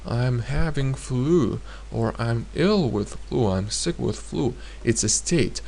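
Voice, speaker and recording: Guy teaching grammar, really slow, stops a lot to think, says examples twice, bit gravelly. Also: mid-range voice, lively intonation, darkish tone. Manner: hesitant, many filler pauses, repeats example sentences. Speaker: language teacher. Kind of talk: explanatory grammar lesson.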